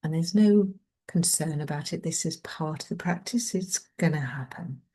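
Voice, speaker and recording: A woman leading a guided mindfulness meditation in a gentle, soothing manner, leaving long pauses between phrases. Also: low voice, slight background noise.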